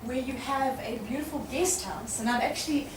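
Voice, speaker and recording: A woman hosting an informal live interview in front of an audience, with a loud, chatty way of speaking. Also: high voice, brisk, echoey room, distant mic, background noise. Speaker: event host interviewer.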